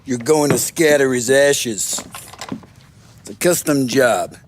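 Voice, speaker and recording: A man playing a sardonic, blackly humorous mob bomb maker in a crime video game, speaking with deliberate wit. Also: mid-range voice, a little hiss or hum, natural voice. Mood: deadpan. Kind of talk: cinematic video game acting.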